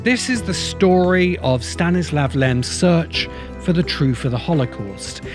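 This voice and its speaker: A middle-aged man delivering scripted documentary narration in a grave, deliberate storytelling manner with short, weighted phrases. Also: medium pitch. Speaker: host and narrator of a science fiction video essay channel. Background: music.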